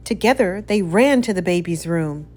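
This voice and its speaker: A woman narrating a children's story as an expressive read-aloud. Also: fairly low pitch, moderate pace.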